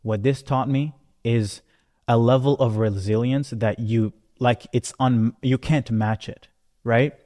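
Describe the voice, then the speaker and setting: A man's voice: medium pitch, fairly even intonation, slow pace, warm tone. Man telling his story in heavy reverb. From born in Rwanda, moved to Canada at thirteen. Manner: conversational, emphatic, punctuated with check-in questions like "right?". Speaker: entrepreneur presenting. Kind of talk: motivational life-story talk to camera. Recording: long hall-like reverb.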